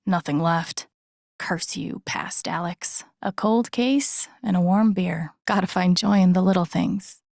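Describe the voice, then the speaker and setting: A woman's voice: on the low side, measured pace, creaky, a little mellow, no echo, studio quality. An actor playing an empathetic, introspective young woman in a video game, musing to herself in an understated, reflective way. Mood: wry, tender. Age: young adult. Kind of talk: naturalistic video game voice acting, inner monologue.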